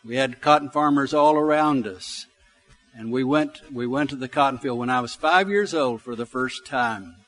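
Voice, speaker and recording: Man in his sixties, Southern US accent, pastor, medium pitch, folksy sermon delivery, anecdotal, conversational. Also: normal speed, a bit bright. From Alabama, United States. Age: 60s. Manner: folksy, conversational storytelling with repeated phrases for emphasis.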